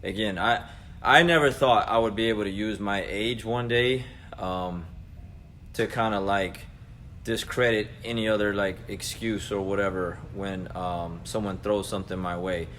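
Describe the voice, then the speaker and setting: Casting: American man, 40s, slightly low pitch, earnest casual motivational coach, faintly noisy ambience. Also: fairly even intonation, normal speed, fairly bright tone. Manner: conversational, earnest, addressing listeners directly as guys and man. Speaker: jiu-jitsu coach and vlog host. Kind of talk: casual motivational talk to camera. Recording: clearly noisy background ambience.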